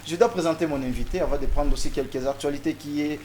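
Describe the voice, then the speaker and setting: Higher-voiced Congolese French male presenter, noisy recording. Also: fairly even intonation, fast talker, darkish tone. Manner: formal, hesitant, repeating words. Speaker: TV talk show host. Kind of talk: presenting and interviewing on a talk show.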